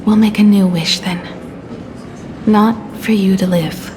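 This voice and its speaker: An actress playing a devoted young female companion in a game drama, speaking earnestly and firmly, with measured resolve. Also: mid-range voice, steady tone, background noise, close to an everyday voice. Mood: determined.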